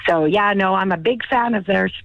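A woman's voice: medium pitch, normal speed, vocal fry, no room sound, muffled recording. A woman calling in to a radio show, chatty and upbeat, laughing now and then. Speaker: contest winner caller. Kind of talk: casual phone chat.

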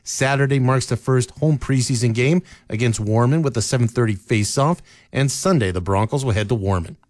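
A man reading scripted local news in a steady newsreader manner, from a radio studio. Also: mid-range voice, fairly quick, no room sound. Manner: steady, even newsreader delivery. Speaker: radio newscaster.

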